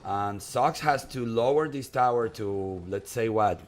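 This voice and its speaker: A man with a strong Japanese accent casting an esports match in a chatty, emphatic, excitable way. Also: mid-range voice, measured pace. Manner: animated, chatty, emphatic repetition. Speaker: esports caster. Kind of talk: excitable live game commentary and banter.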